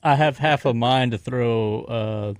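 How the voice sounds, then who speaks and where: A man's voice: medium pitch, slow, clear, resonant tone, no room sound. A man playing a tabletop roleplaying game, chatting casually and describing what his character does. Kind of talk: casual game chat, describing character actions in third person.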